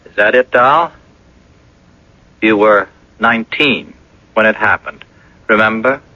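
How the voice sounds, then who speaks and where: A man's voice: lowish voice, takes it slowly, pushed and bright, dry recording. An actor playing a broke, ailing blackmailer in his thirties, wheedling and insinuating in a radio drama. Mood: insinuating, threatening. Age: 30s. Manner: wheedling, insinuating, calls her doll. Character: broke, ailing 39-year-old blackmailing ex-boyfriend, slyly menacing. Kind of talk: 1980s radio drama acting. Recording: dull lo-fi old radio broadcast.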